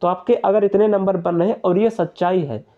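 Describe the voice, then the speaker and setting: A man's voice: high-pitched, fairly even intonation, a little fast, narrow, tinny audio. A young man, explaining informally to viewers in an earnest, repetitive, persuasive way. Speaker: exam-prep YouTuber.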